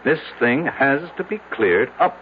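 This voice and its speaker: A man's voice: medium pitch, animated, measured pace, close to an everyday voice. An actor playing a brusque, skeptical city businessman in a 1970s radio drama, formal and insistent. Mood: indignant. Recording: tinny, noisy 1970s radio broadcast.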